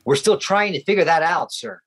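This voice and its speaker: Male US special agent, American accent, higher husky voice, formal briefing tone, radio drama. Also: animated, a little fast, fairly bright tone. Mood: earnest, formal. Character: earnest, respectful male American intelligence agent. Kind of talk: Cold War radio play acting.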